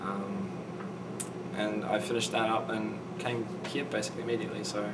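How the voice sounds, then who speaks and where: A man's voice: fairly low pitch, level tone, moderate pace, distant mic, heavy background noise. A young man with a mixed Australian and New Zealand accent, answering interview questions hesitantly with many ums and restarts. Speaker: guest. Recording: echoey room, off-mic, loud air conditioning.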